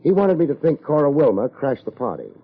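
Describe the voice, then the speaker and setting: An American actor playing a tough, wry private investigator in 1950s radio detective style, with a husky voice and clipped, sardonic delivery. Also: male voice, a little high, big pitch swings, fairly quick. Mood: hard, accusing. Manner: clipped, hard-boiled, sardonic. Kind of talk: 1950s radio detective acting and first-person narration. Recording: muffled vintage radio recording.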